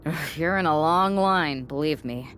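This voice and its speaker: A slightly low-voiced team leader rallies allies in a wrestling game cutscene. Faint noise sits behind the scripted lines. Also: female voice, measured pace, fairly bright tone. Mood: rallying, wry.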